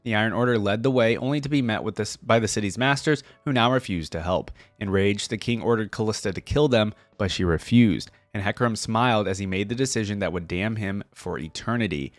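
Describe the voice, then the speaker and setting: A man's voice: on the low side, a little fast, few pauses, dry recording. A man hosting a reaction video in a casual, conversational way, moving between reading lore aloud and informal commentary. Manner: informal, conversational asides between read passages.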